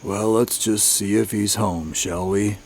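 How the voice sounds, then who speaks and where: A man playing a calm, reasonable father figure in a radio drama, measured and polite. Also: lowish voice. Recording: clear background noise, clicks.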